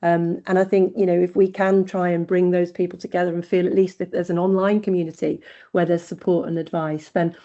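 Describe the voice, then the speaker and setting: Mellow woman on a dull video call. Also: lowish voice, little pitch movement, a little fast. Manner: earnest, thinking aloud with frequent fillers and restarts. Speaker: researcher presenting at a webinar. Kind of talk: conversational answer in an online Q&A. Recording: dull lo-fi webinar audio.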